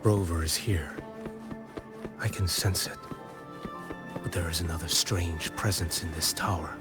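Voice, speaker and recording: A man voicing a calm, brooding wandering swordsman in a video game, speaking in a solemn, measured and terse way. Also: deep voice, over loud music. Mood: brooding, focused.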